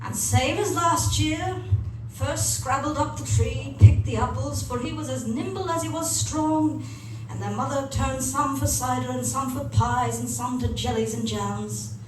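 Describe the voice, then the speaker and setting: A woman's voice: sits high, measured pace, hardly any pauses. A woman telling a fairy tale as a storyteller. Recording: very echoey, distant, noisy room.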